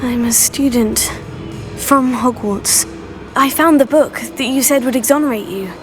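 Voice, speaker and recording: A woman's voice: a little high, measured pace, over music, natural voice. A woman playing an earnest, curious teenage student witch in a video game, polite and composed. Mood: earnest, questioning. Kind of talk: video game character acting.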